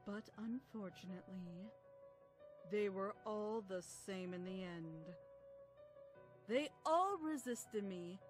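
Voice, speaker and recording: A woman's voice: medium pitch, slow, pauses often, over music, lightly acted. A woman playing a falsely sweet, murderous princess alicorn in a fan audio drama, coaxing and menacing. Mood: gloating menace.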